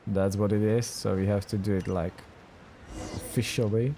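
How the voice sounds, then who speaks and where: A man's voice: lowish voice, steady tone, normal speed, dark tone, slight background noise. A man giving casual, skeptical let's play commentary in a dry manner.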